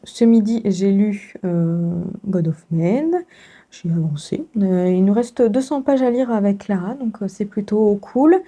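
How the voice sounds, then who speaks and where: A woman's voice: medium pitch, lively intonation, a little slow, mellow. A young woman vlogging casually, congested with a sore throat, chatting with many fillers and laughs. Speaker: book vlogger. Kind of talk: casual vlog chat.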